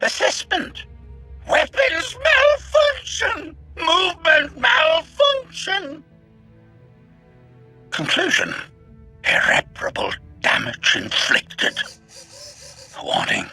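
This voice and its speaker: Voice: extremely high pitch, huge pitch swings, slow, pauses often, pushed and bright, faint music behind, heavily acted. An actor voicing a panicky, murderous assassin droid in a video game, announcing statements in clipped robotic phrasing. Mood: alarmed, menacing.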